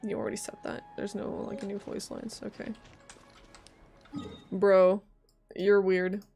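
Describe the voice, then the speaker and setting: A woman streams a game with casual, playful commentary. She talks at an unhurried pace in a medium-pitched voice, with faint music behind her. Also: level tone. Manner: informal, playful, reactive. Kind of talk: casual live gameplay commentary.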